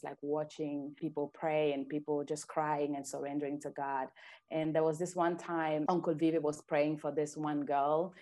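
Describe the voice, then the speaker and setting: A woman speaking as an interview guest, telling personal stories in an earnest, expressive, conversational way. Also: deep voice. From Cameroon. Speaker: interview guest, ministry leader.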